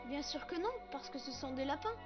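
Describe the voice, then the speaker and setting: A woman's voice: high voice, animated, fairly quick, highs cut off, over loud music. A woman voicing an earnest little boy raised by wolves, childlike, in a French cartoon dub. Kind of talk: French animated series dub.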